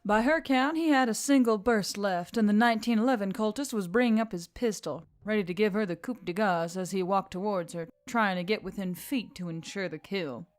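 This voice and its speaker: A woman's voice: mid-range voice, a little fast, few pauses. A woman reading scripted action fiction as an audiobook narrator. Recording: background music under the voice.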